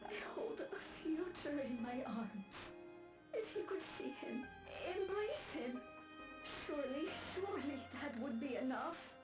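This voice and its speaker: An actress playing a lovestruck, fragile woman in a video game, speaking in a hushed, halting, wistful way. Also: high-pitched, lively intonation, recorded from a distance. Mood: yearning hope. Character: lovestruck, fragile woman confessing devotion to her commander, a mother. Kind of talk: dramatic video game monologue. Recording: roomy echo under music.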